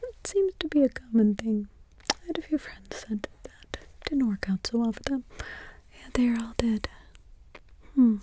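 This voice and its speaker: A woman's voice: on the high side, animated, dark tone, slightly rough, rough recording. A woman whispering in ASMR roleplay as a weary, wry witch mother hiding in the forest. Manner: unvoiced whisper, slow and drawn-out. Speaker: ASMR creator.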